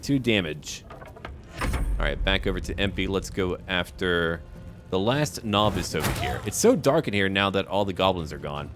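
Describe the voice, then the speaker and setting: A man's voice: deep voice, animated, moderate pace, music in the background. A man giving casual let's-play commentary, thinking aloud and chatting to the characters on screen as he plays. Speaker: gaming video host playing and narrating.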